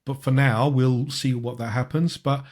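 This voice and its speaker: A man hosting a football podcast in casual, conversational talk, with a husky voice and a halting way of repeating words. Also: medium pitch, steady tone, darkish tone.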